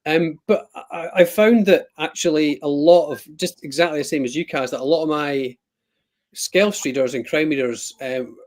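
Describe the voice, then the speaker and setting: A man's voice: fairly high pitch, normal speed. Scottish man with a raised voice. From Scotland. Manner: rambling, self-deprecating, many ums and restarts. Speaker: author guest. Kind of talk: casual live-stream chat.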